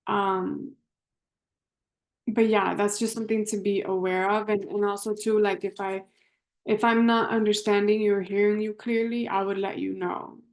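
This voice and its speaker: A woman's voice: medium pitch, flat delivery, moderate pace. A young woman from Miami introducing herself to a class in a casual, rambling way that often corrects itself. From Miami, Florida. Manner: rambling, self-correcting, conversational.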